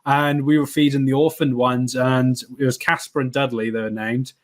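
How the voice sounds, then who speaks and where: A man's voice: medium pitch, little pitch movement, moderate pace. A man from Wales, a podcast guest, telling stories in an easygoing, self-deprecating way and laughing now and then. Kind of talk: conversational interview answers.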